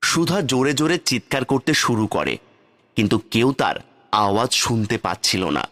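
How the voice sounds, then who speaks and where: A man narrating a cartoon fairy tale in steady, plain scripted storytelling. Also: medium pitch, moderate pace. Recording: faint background music. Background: faint music.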